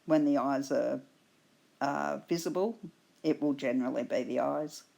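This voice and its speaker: A woman with an Australian accent, hoarse from a cold, patiently explaining her painting steps in a tutorial voice-over. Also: extremely low pitch, dark tone, dull audio. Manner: slow, patient explaining with fillers. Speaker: art instructor.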